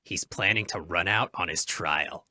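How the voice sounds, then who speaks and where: A man's voice: sits low, measured pace, pushed and bright, dry recording, studio quality, full character voice. A man acting a terse, insistent anonymous tipster in an old-time radio crime drama. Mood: terse, secretive.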